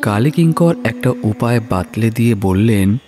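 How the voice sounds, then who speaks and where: A man narrating a scripted horror story in a dramatic, measured, suspenseful manner. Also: lowish voice, animated. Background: music.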